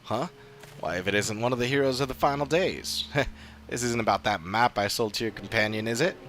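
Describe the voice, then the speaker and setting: A man's voice: mid-range voice, fairly quick, a bit bright, a little hiss or hum, close to an everyday voice. A man voicing a sly, smug swindling merchant in a video game, with a slick, knowing manner. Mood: smug, slippery. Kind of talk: scripted video game dialogue. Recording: game music behind voice.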